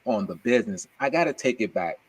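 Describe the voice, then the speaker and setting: A man's voice: lowish voice, expressive, quick pace, slightly rough, poor audio. A man hosting a podcast interview, upbeat and affirming, calling his guest brother. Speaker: podcast host. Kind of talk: casual interview.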